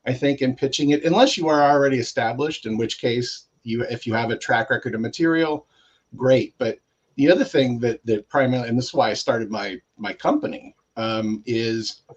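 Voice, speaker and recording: A man's voice: mid-range voice, moderate pace, highs cut off. A middle-aged man chatting casually as a guest on a panel. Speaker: guest creator.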